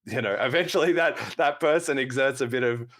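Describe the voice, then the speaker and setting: A man's voice: mid-range voice, brisk, fairly bright tone, no echo, studio quality. A man hosting a podcast, talking at length in a casual, explanatory way. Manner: talkative, explains at length, laughs now and then. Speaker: podcast host.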